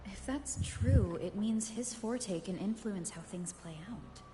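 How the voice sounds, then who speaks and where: A woman playing a composed, resolute warrior in a video game, thinking through a mystery in a measured, serious way. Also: medium pitch, background noise, natural voice. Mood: grave, pondering. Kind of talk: video game voice acting, inner monologue.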